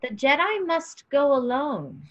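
A woman's voice: high voice, sing-song, fairly bright tone, lo-fi sound, heavily acted. A woman playing a cryptic alien seer who speaks in solemn, oracular broken phrases. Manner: slow, oracular, broken syntax.

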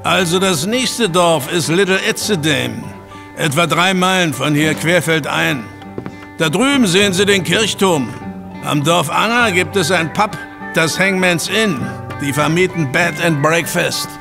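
Plain-spoken male rural track worker for audio drama, creaky voice pitched a little high. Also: a little slow, over music. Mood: matter-of-fact. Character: matter-of-fact male railway workman in rural Britain. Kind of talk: scripted radio drama acting.

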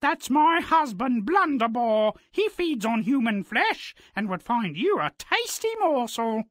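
A woman's voice: on the high side, sing-song, measured pace, no echo, studio quality. A woman voicing a motherly, wary giant's wife in a fairy-tale video game, fussy and coaxing. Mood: cautioning. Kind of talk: video game character acting.

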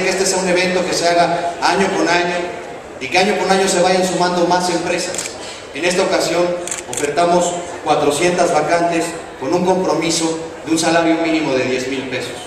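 A young man from Mexico giving an earnest, formal speech at a podium. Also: on the high side. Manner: earnest, thanking officials, measured oratory. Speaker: youth office official giving a speech. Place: large indoor exhibition tent with audience. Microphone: podium microphone, recorded from far in the crowd. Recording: echoey hall from far off, noisy.

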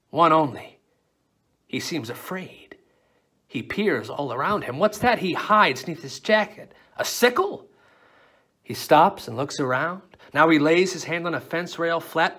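A man's voice: fairly high pitch, a bit bright, exaggerated voice. A man playing a defiant, dreamy young outlawed woodsman in a classical stage monologue, theatrical and swinging suddenly between musing and outcry. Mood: wary, watchful.

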